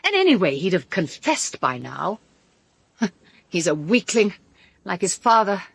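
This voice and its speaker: Brittle British woman, vividly animated. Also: fairly low pitch, unhurried, vocal fry, husky, dry recording, heavily acted. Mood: urgent, speculating. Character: brittle, sharp-tongued woman suspect, candid and bitter. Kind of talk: full-cast radio drama dialogue.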